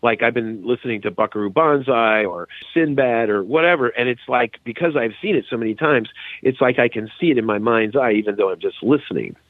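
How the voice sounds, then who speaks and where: A man's voice: medium pitch, brisk, no echo. A man talking casually as a podcast guest, heard through thin, phone-like remote call audio. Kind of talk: casual chat.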